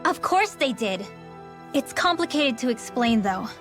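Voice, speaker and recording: A woman's voice: fairly high pitch, big pitch swings, measured pace, fairly bright tone. A woman playing a guarded, earnest young villager with secret powers in a video game. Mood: explaining. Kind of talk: video game cutscene acting. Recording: music behind.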